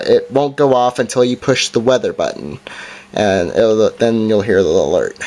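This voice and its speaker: A man giving a casual, halting hands-on gadget demonstration in an unscripted way. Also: mid-range voice, a little mellow. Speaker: product reviewer. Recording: noisy amateur home recording, clicks.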